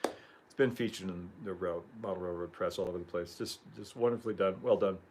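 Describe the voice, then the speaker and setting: Slightly hoarse man chatting on a livestream. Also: deep voice, brisk, a little mellow, a little hiss or hum. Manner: rambling, chuckling, frequent throat clearing. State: losing his voice. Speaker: livestream host. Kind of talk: casual livestream chat.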